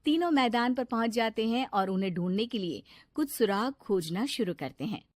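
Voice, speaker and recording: A woman's voice: a little high, lively intonation, moderate pace, no echo, studio quality. A woman reading scripted narration for a children's cartoon story.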